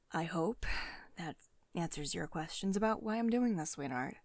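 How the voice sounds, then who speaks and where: A woman's voice: fairly low pitch, expressive, a little slow, no echo. A woman playing a commanding, protective tech CEO who is secretly devoted and tender, in an intimate ASMR roleplay monologue. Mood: tender, hesitant.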